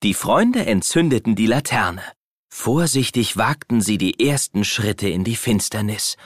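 A man's voice: on the low side, expressive, measured pace, no room sound, studio quality. A man narrating a children's audio play in scripted storybook style.

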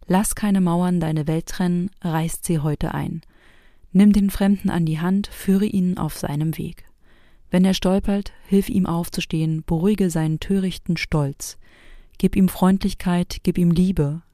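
Mellow, low-voiced woman narrator, dry sound. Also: fairly even intonation, measured pace, no room sound. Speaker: host and maker of a private Christmas audio piece. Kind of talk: scripted narration.

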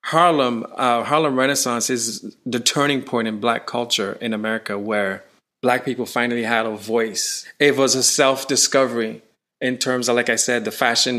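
A man from Canada, a touring musician, chatting in an interview and telling stories with rising excitement. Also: mid-range voice, normal speed. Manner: animated storyteller, voice rising when excited. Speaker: musician guest. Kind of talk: casual interview.

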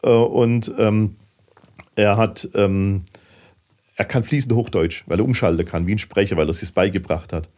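A man's voice: on the low side, moderate pace. Swabian-accented man telling stories casually. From southern Germany. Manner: fidgety, animated storyteller with dialect. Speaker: podcast guest, filmmaker. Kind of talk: casual conversational storytelling.

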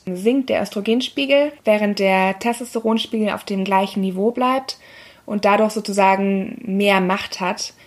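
A young woman with a hoarse, scratchy voice, hosting a podcast as a pharmacist and explaining things step by step in a casual, friendly way. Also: medium pitch.

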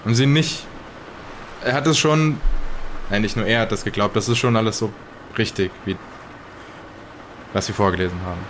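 A man playing a grim 1920s survivor of an occult ritual in an audio drama, telling it haltingly. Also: on the low side, fairly bright tone, slight background noise, close to an everyday voice. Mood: grave, matter-of-fact. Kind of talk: in-character audio drama retelling.

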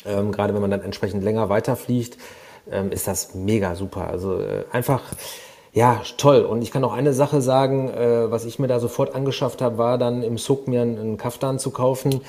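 A man's voice: medium pitch, fairly even intonation, fairly quick, darkish tone. A man co-hosting a travel podcast, chatting enthusiastically and rambling with many fillers. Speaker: podcast co-host and company founder. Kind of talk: casual podcast chat.

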